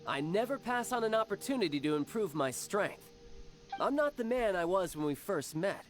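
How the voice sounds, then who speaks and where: Young game warrior, confident, playful big brother, high voice, lively intonation, music underneath. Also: male voice, moderate pace. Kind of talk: scripted video game voice acting.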